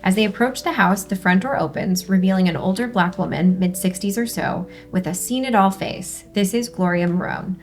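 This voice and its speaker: A woman with a mid-pitched voice works as a host and script reader. She speaks in an upbeat, conversational presenting style, moving easily between discussion and narrated scene-setting. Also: normal speed, hardly any pauses, darkish tone, soft background music. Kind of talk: upbeat hosted discussion and stage-direction reading.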